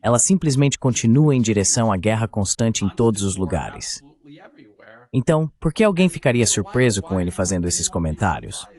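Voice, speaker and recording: A man's voice: fairly low pitch, very animated, moderate pace, darkish tone. A man giving translated voice-over political commentary, opinionated and fond of rhetorical questions.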